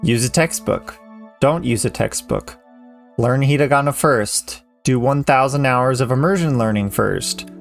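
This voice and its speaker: A man in his thirties, a language teacher, reading a measured, earnest voice-over lesson. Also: medium pitch, vocal fry. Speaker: Japanese teacher. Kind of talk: scripted educational voice-over. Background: soft background music.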